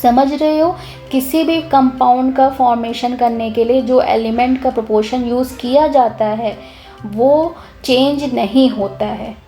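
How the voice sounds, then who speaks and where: Young woman teacher, a bit hoarse, eager, mellow tone, keeps restarting her sentences. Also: a little high, measured pace, soft background music. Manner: lively, talks with energy and restarts often. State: hoarse, clears her throat. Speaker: chemistry teacher. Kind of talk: casual explanatory lesson.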